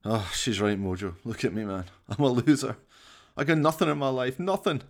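A man's voice: mid-range voice, brisk, close to an everyday voice. A man voicing a hapless slacker in a comic radio play, whiny and pleading, stammering and feeling sorry for himself. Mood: dejected, self-pitying. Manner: stammering, pleading, self-pitying.

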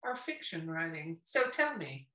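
Lady podcast host chatting casually, bright sharp voice, roomy and a bit far from the mic. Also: sits low, very animated, measured pace. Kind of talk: casual, unfiltered interview chat. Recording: echoey room, distant from mic.

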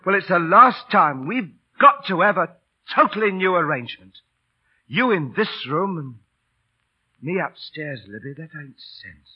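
An actor playing a hurt, proud street busker with a husky voice in an old radio drama. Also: male voice, sits high, expressive, unhurried, full character voice. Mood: wounded pleading. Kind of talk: 1940s radio play acting. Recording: dull, lo-fi old radio recording.

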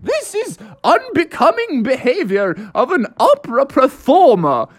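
Woman putting on a pompous high opera performer. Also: sing-song, a little slow. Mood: affronted. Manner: pompous, formal diction.

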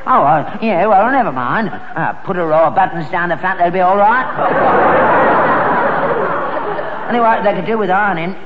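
A grubby, stubborn old London father in a sitcom. He grumbles and wheedles in slangy working-class speech. The sound is dull, with a clearly audible studio audience behind him. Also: high-pitched, lively intonation, quick pace, over a noisy crowd, heavy background noise. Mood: pleased, defiant. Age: older. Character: stubborn, grubby old rag-and-bone man, miserly father. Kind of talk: British sitcom acting before an audience.

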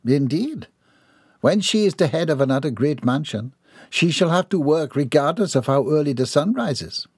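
A man voicing a formal, commanding mistress of a mansion, from Jamaica, who speaks primly and briskly. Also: a little high, lively intonation, darkish tone, husky, no echo, studio quality, voice pushed up. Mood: proper.

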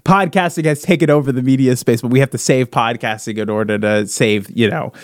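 A man hosting a podcast, brainstorming casually in an excitable, rambling way. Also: medium pitch, a little fast, dry recording, studio quality. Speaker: podcast host.

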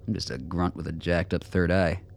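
A man playing a gruff, self-deprecating old soldier in a radio drama, plain-spoken and wry. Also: low-pitched, moderate pace. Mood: wry, weary.

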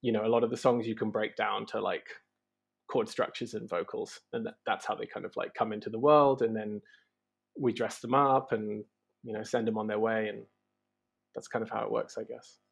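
A male musician talks loosely through his band's influences, stopping often on ums and likes. His voice has a gravelly edge with a husky, breathy grain. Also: fairly low pitch, flat delivery, normal speed. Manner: hesitant, many ums and likes. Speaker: band member, guitarist. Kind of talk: casual interview chat.

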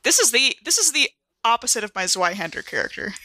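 A woman's voice: a little high, sing-song, quick pace, bright, forceful tone, no room sound, low-quality recording. A woman chatting casually as a player in a tabletop roleplaying game.

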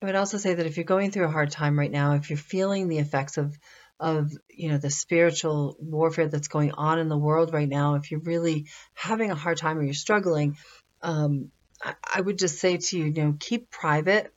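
A woman giving informal motivational advice in a conversational, halting way, repeating phrases for emphasis. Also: low-pitched, steady tone, highs cut off. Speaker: motivational spiritual speaker.

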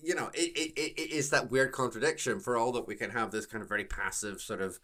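A man hosting a podcast, casually discussing a TV show in wordy, halting phrases full of repeated words. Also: medium pitch, steady tone, pushed and bright. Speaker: podcast host.

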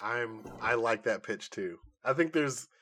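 A man co-hosting a podcast in casual chat, informal and often halting as he thinks aloud. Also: mid-range voice, animated, fairly bright tone. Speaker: podcast co-host. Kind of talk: casual chat, pitching comic movie ideas.

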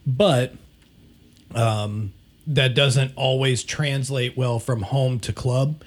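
A raspy-voiced man talking casually as a podcast co-host. He rambles, trips over his words and restarts often, breaking into easy laughter. His pitch sits in the middle range for a man. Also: steady tone, slow. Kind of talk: casual chat.

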